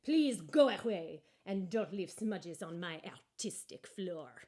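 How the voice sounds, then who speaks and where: A woman's voice: fairly low pitch, lively intonation, slow pace, dark tone. A woman voicing a snooty, dismissive male art gallery owner in a storybook, with a fussy, haughty manner.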